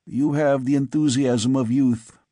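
A man voicing a weary, brooding older father who speaks in a soft, tired, probing way. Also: medium pitch, steady tone, a little slow, no echo, studio quality, lightly acted.

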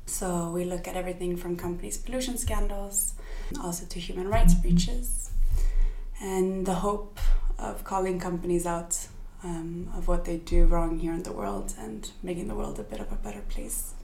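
A young woman from Sweden talks as a podcast guest, her voice sitting a little low. She thinks aloud, with fillers and restarts, and the sound carries a roomy echo. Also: steady tone, normal speed, gravelly, darkish tone, a little hiss or hum. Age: young adult. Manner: thoughtful, many fillers and restarts. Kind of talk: casual interview.

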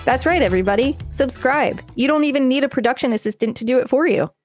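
A woman's voice: high-pitched, quick pace, darkish tone, faint music behind. A woman co-hosting a podcast, reading an article aloud and adding casual commentary. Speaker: podcast co-host.

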